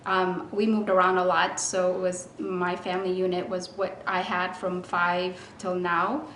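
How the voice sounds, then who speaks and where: A woman from Ethiopia, raised in Rome, sharing her story in a church testimony video in a quiet, reserved and gentle way. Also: fairly low pitch, monotone, unhurried, a little hiss or hum. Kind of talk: personal testimony, interview-style. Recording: echoey room recording.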